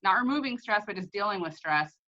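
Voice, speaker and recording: Bright-voiced woman hosting casually, lo-fi audio. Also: mid-range voice, animated, fairly quick. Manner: enthusiastic, personal and informal. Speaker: host. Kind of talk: casual chat, product testimonial.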